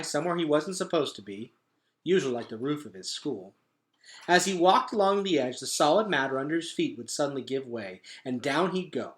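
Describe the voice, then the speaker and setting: A man reading a children's book aloud as a teacher would, with dramatic, expressive narration. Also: fairly high pitch.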